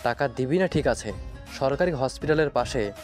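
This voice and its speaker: A man's voice: medium pitch, animated, normal speed, soft background music, close to an everyday voice. A man playing a bullying, greedy student political leader in an audio drama, threatening and taunting.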